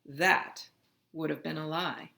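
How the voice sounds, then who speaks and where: Woman storybook narrator, unhurried and dramatic, with a low-pitched, crisp-edged bright delivery. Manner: expressive, dramatic reading. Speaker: storybook reader. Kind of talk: read-aloud narration of a children's picture book.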